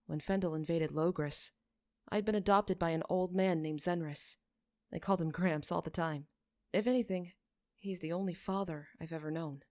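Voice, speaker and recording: Gentle young shepherd read by a woman: compassionate, soft-spoken, earnest, for a fantasy audio story. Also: lowish voice, fairly even intonation, normal speed, dry recording, clean studio sound, close to an everyday voice. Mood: soft, solemn.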